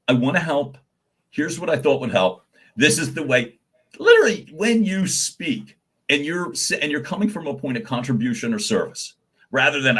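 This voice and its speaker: A man giving advice in a live question and answer session, animated and given to repeating words as he starts a thought, with a naturally loud voice. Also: medium pitch, husky. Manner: says he has a naturally loud voice. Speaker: guest voice coach.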